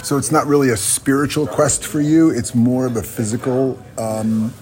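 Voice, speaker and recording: A man working as a radio host, interviewing pilgrims outdoors in a small village, asking short questions between many pauses. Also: mid-range voice, little pitch movement, darkish tone. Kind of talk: field interview on a walking trail.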